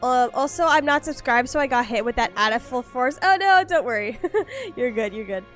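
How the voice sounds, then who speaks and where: A woman's voice: a little high, animated, moderate pace, fairly bright tone, faint music behind. A woman streaming a game, chatting with her viewers in a rambling, casual way, a little stuffy from allergies. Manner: rambling, lots of fillers and restarts, chatty with viewers. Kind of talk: casual gameplay stream chat. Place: at home.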